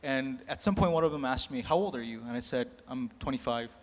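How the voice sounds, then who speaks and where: A man's voice: medium pitch, fairly quick. Candid man in an echoing hall. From United States. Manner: candid, self-mocking, laughs between lines. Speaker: panelist. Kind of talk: candid anecdotal storytelling. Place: large conference hall. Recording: echoey hall reverb.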